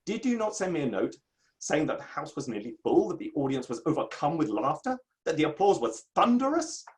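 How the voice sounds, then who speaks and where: A proud, aggrieved Victorian man of letters, played by a male actor in a stage reading. His voice sits fairly high and swings widely in pitch, with formal, theatrical emphasis on his grievances. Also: big pitch swings, brisk. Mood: irritable. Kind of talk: online dramatic play reading.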